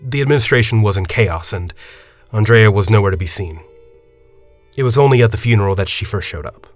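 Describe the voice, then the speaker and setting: Man playing a low-voiced, measured university headmaster. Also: lively intonation, soft background music, lightly acted. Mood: confiding, somber. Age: 40s. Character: forty-year-old university headmaster, measured, confiding, secretive academic. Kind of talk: audio drama dialogue and storytelling.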